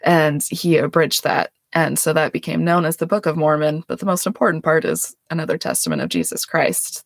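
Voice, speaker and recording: Woman host, some vocal fry, dry close sound, earnest and chatty. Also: low-pitched, no echo. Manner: earnest, self-deprecating, laughs often. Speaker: podcast host. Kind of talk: casual religious explanation.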